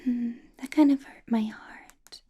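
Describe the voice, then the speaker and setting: A woman voicing a doting, possessive vampire boss in a soft, breathy ASMR whisper, intimate and coaxing with quiet menace. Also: a little high, fairly even intonation, takes it slowly, muffled recording, full character voice. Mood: coaxing, veiled menace.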